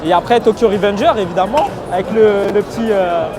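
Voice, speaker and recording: A man's voice: high-pitched, fairly quick. A man, chatting casually and easily, repeating short phrases. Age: 30s. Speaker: convention visitor being interviewed. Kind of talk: casual chat. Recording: loud crowd and music behind.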